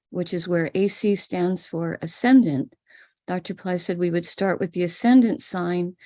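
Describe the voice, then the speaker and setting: An American woman teaching a ritual on a live stream, calmly explaining each step. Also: fairly low pitch. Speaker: spiritual teacher leading a ritual. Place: home room with a small altar table.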